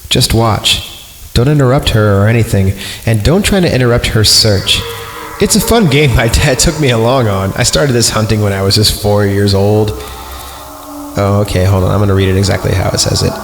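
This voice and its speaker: A man's voice: lowish voice, normal speed. A man reading a story aloud as a podcast host, irreverent and crude, breaking off into sarcastic comic asides. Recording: roomy echo with music behind. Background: music.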